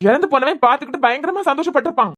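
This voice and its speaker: Woman playing a husband, young, bitter, sarcastic, fast-talking, repeats himself in anger. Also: high voice, fairly bright tone. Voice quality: sarcastic, bitter, repeating words when angry. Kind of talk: amateur audio drama.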